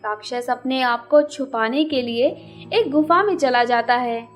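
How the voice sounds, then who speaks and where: Female narrator for a children's animated fable: high-pitched voice, scripted storytelling, soft music bed. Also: unhurried.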